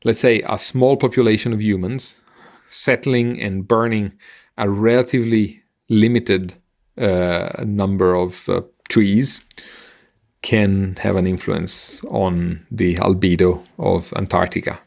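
A middle-aged man explains science on a podcast in a fairly low voice with plenty of vocal fry. He talks like a patient teacher, pausing and halting as he goes, in a conversational style. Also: fairly even intonation. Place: indoor room on a video call. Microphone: headset and microphone close up.

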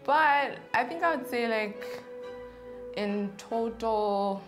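A woman's voice: fairly high pitch, takes it slowly, over music. A young woman, answering interview questions in a chatty, playful way in an indoor studio. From Zambia. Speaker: interview guest. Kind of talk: casual Q&A interview.

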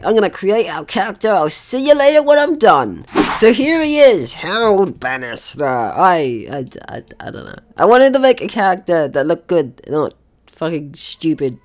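A young adult gaming host giving casual let's play commentary in an excitable, sweary, rambling manner. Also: medium pitch.

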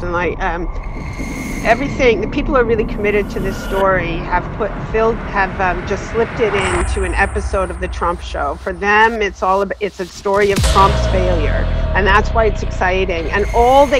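Woman talking casually over clear background noise. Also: mid-range voice. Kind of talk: casual opinionated conversation.

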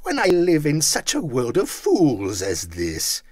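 A man's voice: fairly high pitch, wildly swooping pitch, slow, breathy edge, no room sound, clean studio sound, pitched above the performer's own voice, heavily acted. A man voicing a bitter, miserly old man who talks sternly and growls his scorn. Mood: scornful.

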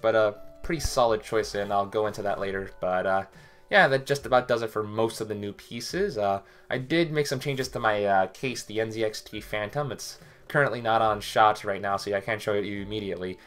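A man's voice: lowish voice, brisk, faint music behind. Young man rambling to his webcam. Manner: rambling, many "uh" fillers, relaxed. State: slightly sick with a cough. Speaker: tech vlogger. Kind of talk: casual talk to webcam. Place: small bedroom with hardware boxes. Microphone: webcam at desk.

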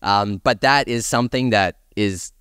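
A man with a fairly deep, resonant voice chats as a podcast panelist. He is enthusiastic and emphatic in an informal, conversational way. The sound is dry and free of room echo. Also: normal speed, fairly bright tone, clear, resonant tone. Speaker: podcast panelist discussing films and games. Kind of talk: casual panel chat.

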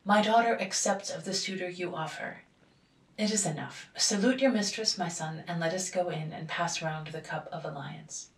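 A woman voicing an angry, commanding old father in a storybook reading, loud and scolding, in an echoey room. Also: fairly low pitch, fairly even intonation, normal speed, creaky, far from the mic.

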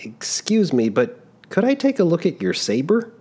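A man voicing a high, excitable young traveler. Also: breathy edge, heavily acted. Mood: curious. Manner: excitable, quick to protest. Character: eager polite young male traveler.

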